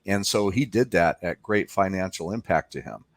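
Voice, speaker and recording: A man's voice: sits low, expressive, normal speed, dry recording. A man speaking as an interview guest, explaining things informally in a conversational way.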